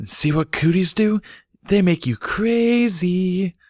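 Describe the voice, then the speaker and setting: A man's voice: high-pitched, takes it slowly, a little mellow, no room sound, studio quality, voice pushed up. A man voicing a cheeky, conspiratorial little filly in a storybook reading, blunt and knowing.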